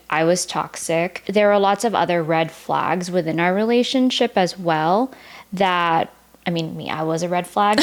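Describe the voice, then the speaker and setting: A woman in her early thirties from the United States, a native Vietnamese speaker, speaking openly and reflectively as a podcast guest in casual conversation. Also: medium pitch. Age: early 30s. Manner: open and reflective, casual filler "like". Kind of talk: candid personal storytelling in conversation.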